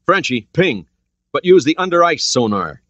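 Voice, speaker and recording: A man voicing a crisp male American submarine officer giving brisk commands. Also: on the high side, big pitch swings, pushed and bright, no room sound, pitched above the performer's own voice. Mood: commanding.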